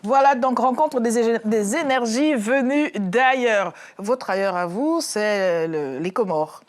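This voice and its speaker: A woman's voice: a little high, slow. A woman in her forties hosting a live French talk show, formal in phrasing and lightly joking with her guests. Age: 40s.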